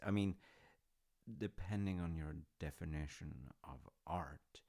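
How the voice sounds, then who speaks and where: A man acts out a middle-aged dad in a slice-of-life audio roleplay. His voice is low with a heavy gravelly creak. He talks slowly in short, thoughtful phrases, supportive and gently teasing. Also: heavily acted. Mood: playful, teasing.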